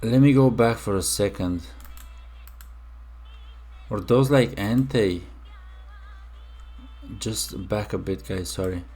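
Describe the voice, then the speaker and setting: A man's voice: lowish voice, slow pace, long pauses, warm tone, faint music behind. A young man from Romania hosting a casual reaction video, musing aloud with many fillers and restarts.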